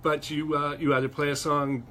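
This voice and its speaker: A man's voice: fairly high pitch, level tone, normal speed, sharp tone, slight background noise. A man co-hosting a music show, casually running through upcoming concerts in a friendly, informative way. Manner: friendly, informative, slight laughs and fillers. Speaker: co-host of a music news show. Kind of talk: casual chat, event listings.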